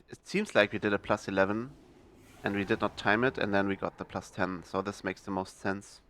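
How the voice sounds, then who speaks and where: A man's voice: on the low side, sharp tone, a little hiss or hum. A young man hosting a gaming video, talking through his choices in a casual, hesitant way with lots of fillers and restarts. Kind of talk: casual gameplay commentary.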